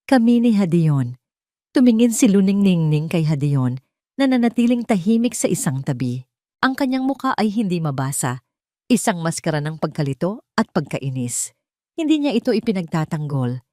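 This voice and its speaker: A woman narrates a scripted drama. Her voice sits low, and the recording is dry and close, with a measured storytelling delivery. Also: very animated, mellow, no room sound.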